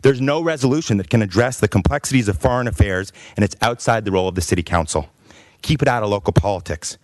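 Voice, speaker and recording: A man's voice: fairly low pitch, fast, no echo. A man giving a firm, pleading public comment at a council meeting.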